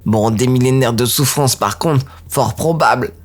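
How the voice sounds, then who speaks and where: A man voicing a cocky, mocking male dark elf prisoner in an audio drama. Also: medium pitch, moderate pace, close to an everyday voice. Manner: taunting, sneering, then begging in panic.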